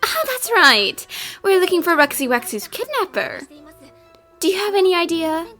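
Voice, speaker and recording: A woman playing a confident, eager young female detective in an animated mystery fan dub, with a theatrical, declaiming delivery. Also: very high-pitched, moderate pace, exaggerated voice. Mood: curious. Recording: music under the voice.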